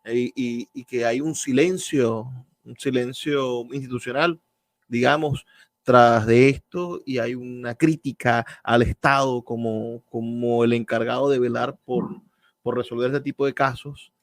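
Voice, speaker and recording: A man's voice: mid-range voice, steady tone, unhurried, dry recording. A man with a Venezuelan accent presenting and interviewing as a radio host, effusive and wordy, repeating words for emphasis.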